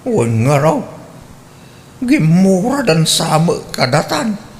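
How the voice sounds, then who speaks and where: A man's voice: a little high, big pitch swings, takes it slowly. A man acting a stubborn, teasing older father in a radio drama, speaking with an Ilocano accent. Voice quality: blustering, swooping questions.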